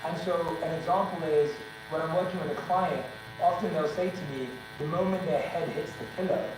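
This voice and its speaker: A man you would hear hosting a self-improvement podcast chat, earnest and agreeable, a little far from the mic with a clear electric hum around him. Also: a little high, fairly quick. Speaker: interviewer, podcast host who coaches clients. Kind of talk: conversational interview. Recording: echoey room, distant mic, electric buzzing behind.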